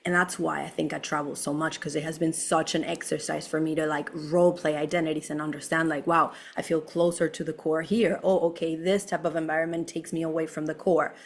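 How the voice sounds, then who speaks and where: A woman's voice: sits low, little pitch movement, fairly quick, few pauses. A young woman from Venezuela, a podcast guest talking effusively, laughing often and filling her speech with "like". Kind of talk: casual conversation.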